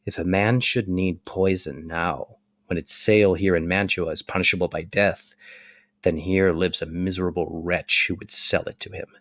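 A man playing an anguished, resolute young nobleman in a dramatic reading of a stage tragedy, declaiming deliberately. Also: sits low, a bit bright, breathy edge, no room sound, studio quality, heavily acted.

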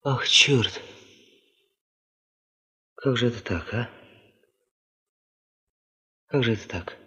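Slow, hesitant young man, reverberant. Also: medium pitch, bright, forceful tone. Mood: stunned, lost. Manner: hesitant, halting, muted. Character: young male geologist, subdued, bewildered, polite. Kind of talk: Soviet radio drama acting.

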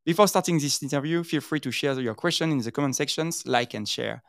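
A man's voice: on the high side, a little fast, vocal fry, a bit bright, no room sound, studio quality. A man hosting an interview show, introducing it and asking questions in a conversational way.

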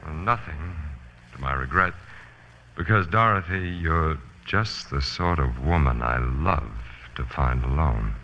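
Low-voiced, suave lady-killer. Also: unhurried. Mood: ominously romantic. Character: smooth, romancing, secretly sinister male lady-killer. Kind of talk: 1940s radio drama acting. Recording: noisy, dull old radio recording.

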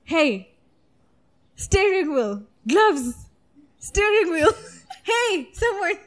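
A woman voicing a frantic, impatient male racing driver shouting urgent, clipped demands over team radio. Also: extremely high pitch, sing-song, extremely slow, voice pushed up, full character voice.

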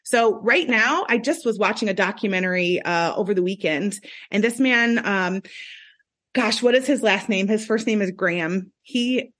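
A woman's voice: mid-range voice, normal speed, fairly bright tone. A woman speaking as a podcast guest in a casual, earnest, self-assured way, often checking for agreement. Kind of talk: casual reflective conversation.

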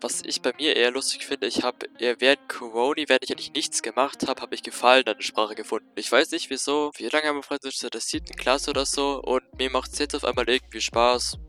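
A teenage boy in a casual chat with a classmate, talking in a hesitant, measured way and often opening with filler words. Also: medium pitch, fairly even intonation, bright, forceful tone, soft background music. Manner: hesitant, starts lines with "so", measured.

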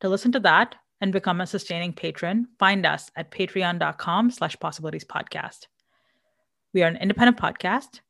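A woman's voice: fairly low pitch, gravelly, a bit bright, no echo, clean studio sound. A woman hosting a podcast, affirming her guest with effusive thanks and reading a closing announcement. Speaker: podcast host. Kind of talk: interview and scripted outro.

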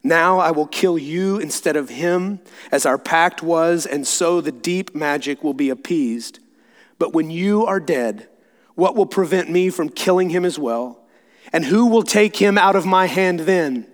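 A cruel witch from a storybook, acted out by a man, gloats over a victim in a slow, menacing quiver. The voice rings through a large hall with long reverb. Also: fairly high pitch, close to an everyday voice. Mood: gloating menace. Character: gloating, cruel witch taunting her victim before killing.

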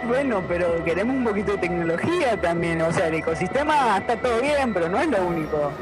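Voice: mid-range voice, expressive, fast talker, close to an everyday voice. An actor playing a cheeky, streetwise radio panelist with an Argentine accent, bantering in brash slang in a comic radio play. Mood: brash, joking. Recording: loud music behind.

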